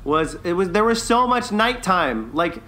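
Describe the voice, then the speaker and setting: A man co-hosting a podcast in casual chat, with an informal, loose and halting delivery. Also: high voice, sing-song, sharp tone, slight background noise. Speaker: podcast co-host.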